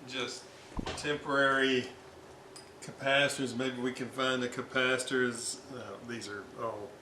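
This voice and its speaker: Slow, halting man in a noisy room. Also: medium pitch, flat delivery, bright, forceful tone. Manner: halting, thinking aloud with long pauses. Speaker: hobbyist radio restorer presenting his repair. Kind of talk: informal hands-on repair demonstration. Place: indoors at a repair workbench. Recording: roomy, noisy amateur workshop recording.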